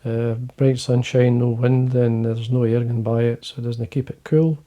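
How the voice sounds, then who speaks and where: A man with a Scottish accent, talking quietly and casually to camera from his fly-tying desk, rambling with fillers and restarts. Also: mid-range voice, little pitch movement, moderate pace, highs cut off. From Scotland. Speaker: fly-fishing video host.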